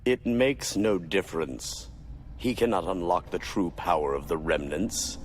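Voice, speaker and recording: An actor playing a suave, menacing villain in a video game, speaking with mock courtesy and condescension. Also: male voice, deep voice, a little slow, noisy background. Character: suave, menacing male villain, a scheming researcher-sorcerer. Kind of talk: dramatic video game acting.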